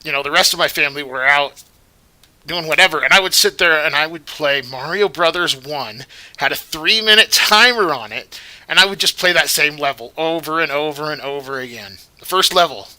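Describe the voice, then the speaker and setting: A male vlogger with a very bright, sharp voice rambles through his commentary. His tone is indignant and moralizing, and his voice sits a little high. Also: normal speed, low-quality recording. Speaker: vlogger host. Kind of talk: commentary vlog.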